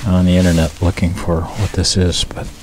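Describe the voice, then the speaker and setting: A low-voiced man chats to his camera as he hikes, easily wowed and full of little fillers. His action-camera audio is noisy, with the outdoors and passing vehicles clearly heard behind him. It sounds outdoors in a wooded gully. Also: monotone, moderate pace. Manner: chatty, easily amazed, lots of fillers. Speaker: hiking vlogger. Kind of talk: casual walking commentary. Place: outdoors in a wooded gully by an old rail bed.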